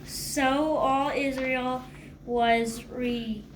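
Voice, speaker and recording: Slow hesitant woman reading aloud. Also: high-pitched, steady tone, recorded from a distance, background noise. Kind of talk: casual family chat.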